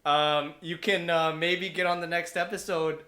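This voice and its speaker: A man with a bright, forceful voice sits slightly high in pitch. He co-hosts a podcast in a casual, halting style, filling gaps as he goes. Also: flat delivery. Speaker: podcast co-host. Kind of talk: casual chat.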